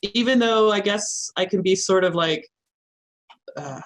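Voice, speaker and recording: A woman's voice: mid-range voice, unhurried, low-quality recording. Assertive woman instructor with a strong voice. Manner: assertive, strong voice, laughs often. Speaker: college instructor. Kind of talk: informal talk to students.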